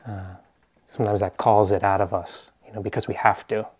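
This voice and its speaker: Low-voiced American man, halting delivery. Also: flat delivery, husky. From US East Coast. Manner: hesitant, halting, searching for words. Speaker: meditation teacher. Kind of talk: informal meditation talk to a group.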